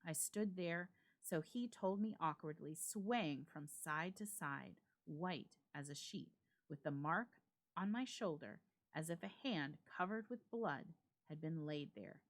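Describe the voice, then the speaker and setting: A woman storyteller giving a suspenseful, expressive dramatic reading of a ghost story with deliberate phrasing. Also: low voice. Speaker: storyteller, library reader.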